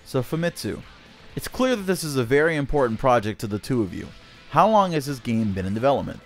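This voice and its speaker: A man's voice: medium pitch, big pitch swings, fast talker, soft background music. A man reading scripted video game news as a channel host, presenting a written interview.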